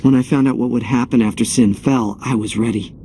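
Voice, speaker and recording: Man playing earnest young male hero, game cutscene, wistful, determined, reflective, loud backing music. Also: medium pitch, steady tone, lightly acted. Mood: wistful, sorrowful. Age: young adult. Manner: heartfelt, reflective, dramatic pauses. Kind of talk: scripted game cutscene acting.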